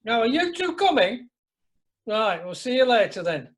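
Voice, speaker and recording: A man's voice: very high-pitched, big pitch swings, normal speed. A man playing an exasperated, henpecked middle-aged husband on a cruise in an amateur radio drama. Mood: resigned.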